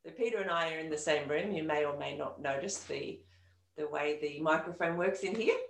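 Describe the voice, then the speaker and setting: A woman's voice: low voice, brisk, creaky. A woman who hosts a podcast. She chats with her guest and encourages him along. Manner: chatty, encouraging interviewer. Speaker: podcast host. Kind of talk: podcast hosting and interviewing. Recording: echoey room, faint music.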